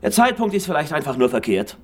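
An actor playing an insecure, lovestruck detective, stammering and full of self-reproach, in a German radio crime drama. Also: male voice, on the high side, sing-song, quick pace. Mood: sheepish. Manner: flustered, stammering.

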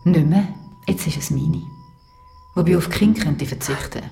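Low-voiced evil mirror double. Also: female voice, wildly swooping pitch, moderate pace, mellow, faint music behind, full character voice. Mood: cold, gloating. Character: menacing evil mirror double of a mother, cold and scheming. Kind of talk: modern audio horror drama.

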